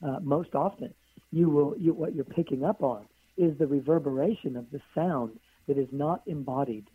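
A hoarse woman, a guest author on a radio interview, who answers in long flowing runs of stacked phrases. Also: low-pitched, moderate pace. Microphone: phone call. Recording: tinny phone line.

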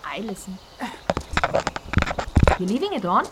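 A woman playing a cheeky, squeamish young adventurer in a fantasy audio drama, speaking in a casual, wry and expressive way. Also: medium pitch, measured pace, heavy background noise. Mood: wry, curious.

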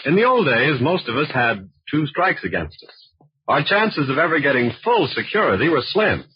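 A man announcing and pitching insurance in scripted 1940s radio advertising style, emphatic and persuasive. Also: sing-song, moderate pace, a little hiss or hum. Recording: muffled vintage radio recording.

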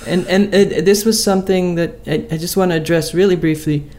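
A man's voice: high-pitched, steady tone, a little fast, dark tone. A man co-hosting a casual chat, talking in a hesitant way with many fillers and restarts.

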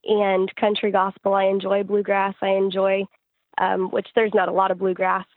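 A woman's voice: mid-range voice, completely flat delivery, moderate pace, no echo, phone-quality sound. A woman who sings and writes gospel music, answering interview questions in a conversational way. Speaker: interview guest, gospel singer-songwriter.

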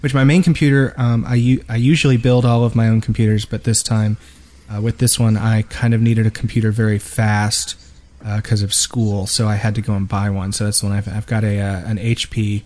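A man's voice: on the low side, level tone, fairly quick, a little mellow. A man co-hosting a gaming podcast, talking casually and conversationally. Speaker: podcast co-host.

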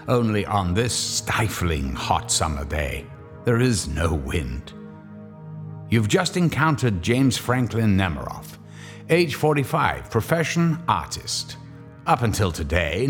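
A man giving ominous, theatrical horror narration for a radio drama. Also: on the low side.

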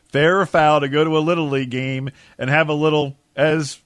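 Middle-aged man, folksy sports talk banter, emphatic and slangy, slightly high pitch, dry sound. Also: fairly even intonation, fairly quick, a bit bright, dry recording. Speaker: sports radio host.

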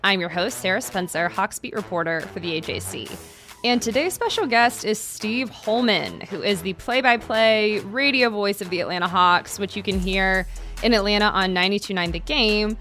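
A woman's voice: mid-range voice, moderate pace, sharp tone, soft background music. Bubbly, enthusiastic woman podcast host. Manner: enthusiastic and bubbly, gushes with praise. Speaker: podcast host, sports beat reporter. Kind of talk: podcast hosting and interviewing.

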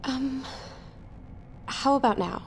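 An actress playing an insistent, troubled therapy client in a naturalistic audio drama. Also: female voice, high-pitched, takes it slowly, a little hiss or hum.

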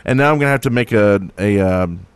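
A man's voice: lowish voice, quick pace, clean tone, no room sound. A man co-hosting a podcast, chatting casually about games in a joking, teasing way. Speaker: podcast co-host. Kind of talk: casual gaming podcast chat.

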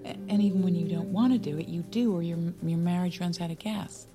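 A woman's voice: on the low side, steady tone, fairly quick, close to an everyday voice. A woman playing a brash, gossipy married friend in an audio drama, talking in a knowing, blunt, teasing way. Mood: blunt, wry. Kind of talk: naturalistic audio drama dialogue. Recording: music playing behind the voice.

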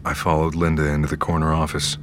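An actor playing a haunted, sleepless survivor who narrates his story gravely and deliberately in an audio drama. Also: extremely low pitch, monotone, noisy background. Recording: loud music under the voice.

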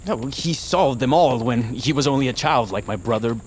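A man's voice: mid-range voice, expressive, normal speed, a little hiss or hum, lightly acted. An actor playing a shy, earnest young nobleman with a hesitant, stammering delivery in a fantasy audio drama. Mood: wistful, earnest. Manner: hesitant, stammering, soft-hearted.

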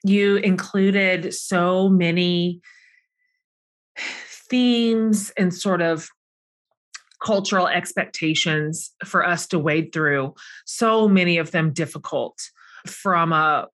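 A woman's voice: lowish voice, slow pace. A middle-aged woman hosting a book club interview, asking long, enthusiastic questions that linger over her words. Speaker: podcast host.

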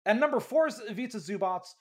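A young man co-hosting a casual sports podcast, chatty and animated, quick to laugh. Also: sits high. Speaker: podcast co-host.

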